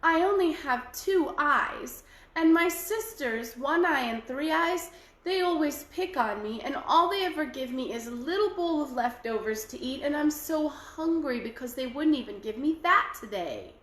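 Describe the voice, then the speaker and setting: A woman's voice: high voice, expressive, normal speed, fairly bright tone, voice pushed up. A woman voicing a shy, hungry young girl, plaintive and pleading. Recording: echoey room.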